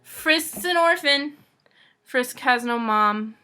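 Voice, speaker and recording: A woman's voice: sits high, animated, slow pace, pushed and bright, soft background music. A woman answering fan questions about her own comic in a casual, jokey and informal way. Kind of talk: casual playful chat.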